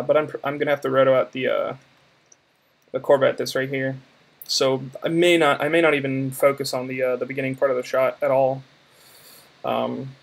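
A man's voice: mid-range voice, creaky. A man giving an informal, rambling screen-recording walkthrough of his visual effects work, hesitant and thinking aloud. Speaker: visual effects artist presenting work in progress.

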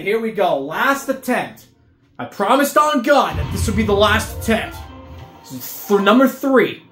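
A young man giving casual gameplay commentary, cursing and breaking into frustrated outbursts at the game. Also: extremely high pitch. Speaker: gaming video creator. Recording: roomy echo with faint game music.

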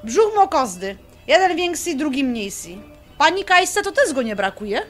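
A high female voice: a young fiancée in a radio play, perky and eager, folksy in her speech and cheeky with her answers. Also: very animated, moderate pace, fairly bright tone, faint music behind. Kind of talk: radio drama acting.

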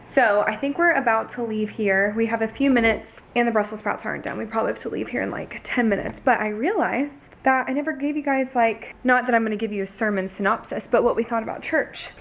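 A woman's voice: a little high, fairly quick, gravelly, hardly any pauses. A woman in her twenties, chatting casually to the camera at home, earnest and filled with "like" and "you know". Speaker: lifestyle vlogger. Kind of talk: casual vlog talk to camera. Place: home kitchen and living room couch.

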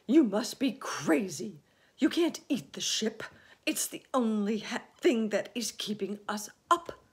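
A woman voicing a gloomy, irritable male earthworm who complains in loud, emphatic protest in a children's storybook. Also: mid-range voice, animated, a little slow, husky, voice pushed up. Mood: indignant. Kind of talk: children's storybook read aloud.

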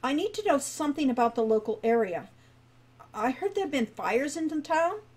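A woman's voice: sits high, very animated, moderate pace, darkish tone. A woman voicing a polite, earnest young male adventurer who speaks hesitantly.

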